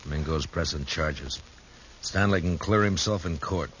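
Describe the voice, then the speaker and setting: A weary, stern frontier marshal with a very low American voice. He is clipped and firm, in the manner of old radio Westerns. The recording is crackly and clearly noisy. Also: a little slow, a bit bright. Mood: firm, curt. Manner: terse, firm, laconic. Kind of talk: 1950s Western radio drama acting.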